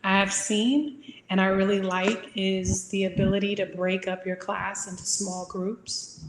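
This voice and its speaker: A woman in her thirties with a Midwestern American accent talks over a video call, relaxed and loose, with frequent ums. Her pitch stays flat and even. The room sounds echoey. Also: a little slow, background noise. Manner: relaxed, informal with many fillers. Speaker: guest speaker. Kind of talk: webinar talk. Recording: roomy video-call audio.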